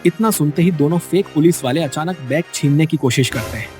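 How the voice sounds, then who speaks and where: A man's voice: a little high, a little fast, darkish tone. A man reading a scripted bedtime story in a dramatic storytelling style, as narrator. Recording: music bed behind the voice. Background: background music.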